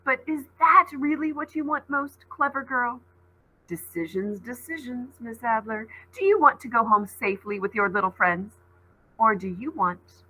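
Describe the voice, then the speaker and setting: Woman voicing a smug, mocking supernatural trickster, bright-voiced. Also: a little high, moderate pace, breathy edge, voice pushed up, rough recording. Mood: coaxing, menacing. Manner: taunting, smug, theatrical. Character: sinister, mocking supernatural trickster with boyish charm.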